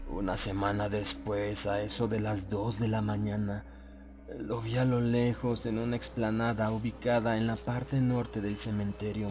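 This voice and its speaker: A man narrating a horror audiobook in a solemn, measured, suspenseful way. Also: fairly low pitch. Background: prominent background music.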